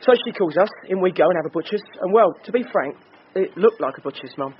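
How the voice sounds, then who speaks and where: An actor playing an eager, blundering detective sergeant in a radio drama, matter-of-fact and clumsily jokey. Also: male voice, sits high, animated, fast. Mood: eager, chatty. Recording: dull radio drama recording with noise.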